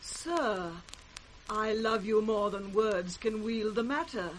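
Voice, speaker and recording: An actress playing a king's flattering eldest daughter, with a British accent and measured, effusive declamation in radio Shakespeare. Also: mid-range voice, close to an everyday voice, rough recording. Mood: effusive flattery. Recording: faint hiss, old radio recording.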